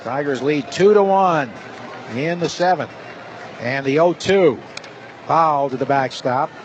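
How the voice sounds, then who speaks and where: A man's voice: a little high, takes it slowly, highs cut off. A man doing live radio play-by-play of a baseball game as the announcer. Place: stadium broadcast booth. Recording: steady stadium noise behind voice. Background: loud ballpark ambience.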